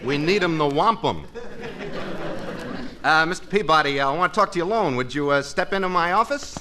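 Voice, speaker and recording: A man's voice: fairly high pitch, lively intonation, a little fast, bright, forceful tone. An actor playing a brash small-time con man with an American accent, all glib sales patter in 1940s radio comedy style. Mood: wheedling. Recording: old radio recording with hiss and clicks.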